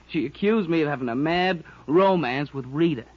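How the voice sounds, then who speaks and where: An actor in a 1950s radio drama playing an evasive, weary young pianist husband, hesitant and defensive. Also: male voice, fairly high pitch, moderate pace, close to an everyday voice. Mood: drunk, giddy. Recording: dull, lo-fi old radio recording.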